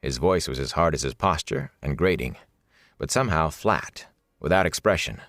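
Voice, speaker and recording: Male narrator, very deep pitch, scripted fantasy novel reading, close dry sound. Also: expressive, moderate pace, fairly bright tone, dry recording. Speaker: audiobook narrator.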